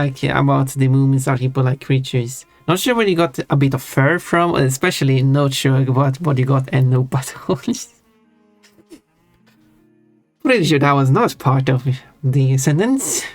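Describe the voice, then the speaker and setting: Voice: mid-range voice, no room sound. A live streamer chatting casually while drawing, rambling and correcting themself with small giggles. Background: faint background music.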